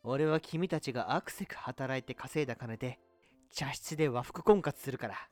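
A man's voice: medium pitch, moderate pace, no room sound, soft background music, natural voice. A man playing a smug, girl-crazy young braggart in an amateur comic radio drama. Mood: smug, boastful. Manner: pompous, theatrical boasting.

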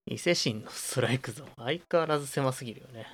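A man acts an incredulous young friend in a homemade radio drama. He quips at a medium pitch, with faint music underneath. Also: quick pace, fairly bright tone, natural voice. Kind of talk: amateur radio drama dialogue.

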